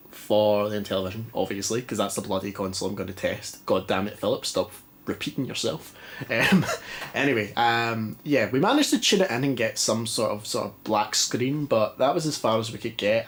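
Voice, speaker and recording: A man's voice: fairly low pitch, a little hiss or hum. Stuffy Scottish man, chatty and rambling. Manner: chatty, self-mocking, rambling. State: stuffy, congested. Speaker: retro game vlogger. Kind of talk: casual chat. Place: dim living room at a TV.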